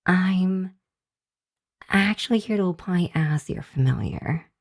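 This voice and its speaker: Female actor for nervous hellhound girl, ASMR monster roleplay. Deep for a girl, slow, apologetic, hesitant. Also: expressive, full character voice. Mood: cautious, hesitant. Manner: hesitant, sheepish, stammering. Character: nervous, apologetic young hellhound girl seeking work as familiar. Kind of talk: ASMR roleplay addressed to the listener.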